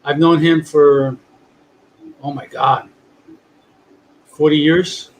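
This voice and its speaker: A talkative man of sixty hosts a relaxed online chat. His voice sits a little high for a man, and he rambles and restarts as he goes. A faint noise runs under the recording. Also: takes it slowly, low-quality recording. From Long Island, New York. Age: 60s. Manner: chatty, rambling, restarts often. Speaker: podcast host. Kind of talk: casual chat.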